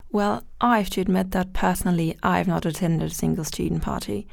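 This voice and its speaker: Teen female student, low and heavily gravelly, dry close sound, earnest. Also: fairly even intonation, a little fast, darkish tone, dry recording. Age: teens. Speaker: student guest. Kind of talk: scripted panel discussion.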